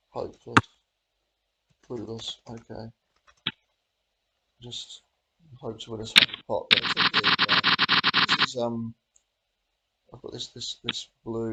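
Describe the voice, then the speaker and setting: Hoarse man with a cold, gravelly. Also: mid-range voice, heavy background noise. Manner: rambling, half-muttered thinking aloud. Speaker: host. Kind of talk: casual commentary while playing online poker.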